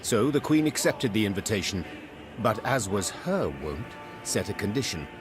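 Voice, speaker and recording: A man narrating a fantasy video game like a storybook, composed, wry and measured in a literary way. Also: on the low side, sing-song, music in the background.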